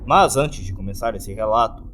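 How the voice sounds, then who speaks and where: A Brazilian man voicing a gloomy, courteous hotel keeper in a formal, measured, scripted horror narration. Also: lowish voice, lively intonation, a bit bright, slight background noise. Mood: ominous, formal. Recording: music under the voice.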